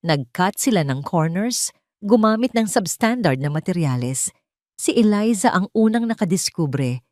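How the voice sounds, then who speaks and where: A woman voicing a grieving, guilt-ridden man in an audio drama, speaking gravely and haltingly with pain. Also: mid-range voice, a little mellow, no echo, studio quality, voice pushed up, close to an everyday voice.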